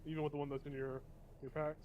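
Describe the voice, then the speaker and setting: A man's voice: a little high, quick pace, muffled recording, a little hiss or hum. Sniffly young male game master. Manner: relaxed, explains rules step by step with fillers. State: sniffly, coming down with a cold. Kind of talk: running a tabletop game.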